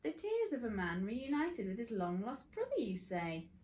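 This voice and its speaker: A woman's voice: fairly high pitch, wildly swooping pitch, normal speed, darkish tone, slight background noise. A woman voicing an eccentric, theatrical man who plays detective in a children's story, grand and pompous. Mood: grand, triumphant.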